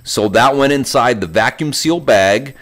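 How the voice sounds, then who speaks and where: A man's voice: mid-range voice, lively intonation, unhurried, a bit bright. A man talking casually as a podcast host, walking listeners through cooking step by step in an informal, conversational way. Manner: informal, conversational, describes steps as they go.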